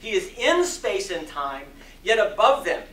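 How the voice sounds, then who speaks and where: A man performing a dramatic sermon reading in an oratorical style, with solemn, emphatic phrasing and long deliberate pauses. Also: high-pitched, big pitch swings, a bit bright. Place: community center hall before an audience. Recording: roomy echo, distant mic, faint noise.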